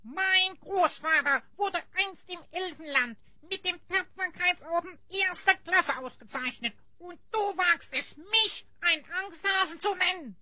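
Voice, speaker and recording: An actor voicing a small, timid, easily offended elf goblin in a fantasy audio drama, squeaky and theatrical. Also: unhurried, hardly any pauses, pushed and bright, no echo, exaggerated voice. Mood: indignant. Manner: whiny, indignant, theatrical.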